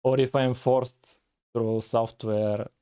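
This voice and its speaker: Male streamer, laid-back, mid-pitched, soft dark voice. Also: slow pace, clear, resonant tone. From Bulgaria. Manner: relaxed, thinking aloud with frequent "uh". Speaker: live-streaming game developer. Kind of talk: casual live coding stream with chat.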